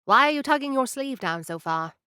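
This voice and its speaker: A woman's voice: high-pitched, lively intonation, brisk, sharp tone, smooth, dry recording, clean studio sound. A woman playing a curt tiny ship captain. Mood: brusque. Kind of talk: audio drama acting.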